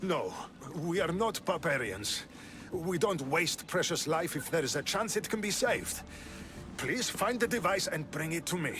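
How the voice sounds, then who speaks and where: An actor playing an earnest, articulate, idealistic scientist prince from an ancient advanced race in a video game drama. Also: male voice, a little high, very animated, unhurried, noisy background. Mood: earnest, urgent. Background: music.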